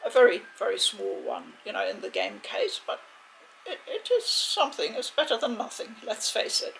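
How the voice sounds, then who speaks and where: A woman giving a casual, chatty unboxing commentary in a measured, hesitant way, with a creaky voice. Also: mid-range voice, huge pitch swings, a bit bright, low-quality recording. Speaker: video game unboxing host. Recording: faint background noise.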